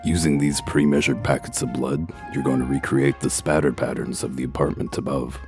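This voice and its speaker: An extremely deep-voiced, gruff detective for a comic dub. He is blunt, commanding and sardonic, and clear music plays behind his curt lines. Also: flat delivery, brisk, a little mellow. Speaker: investigator. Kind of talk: comic dub character acting.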